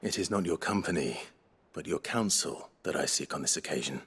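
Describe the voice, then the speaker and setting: Very deep-voiced brooding warrior lord. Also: male voice, expressive, fairly quick, vocal fry, fairly bright tone, husky, heavily acted. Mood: businesslike. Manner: low-key, earnest, formal phrasing. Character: brooding, loyal warrior lord, earnest and terse. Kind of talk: dramatic fantasy game acting.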